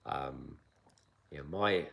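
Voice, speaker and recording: Very deep-voiced calm older Englishman. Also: vocal fry. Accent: English accent softened by long years in Asia. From south London, England. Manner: calm, measured, unhurried with frequent pauses. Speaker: kung fu teacher. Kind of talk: live-stream chat answering viewer questions. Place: outdoor mountain training area.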